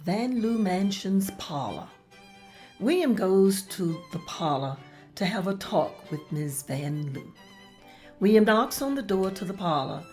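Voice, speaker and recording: A woman's voice: mid-range voice, lively intonation, unhurried, a little mellow, faint music behind. A woman reading stage directions aloud as the narrator of a table read.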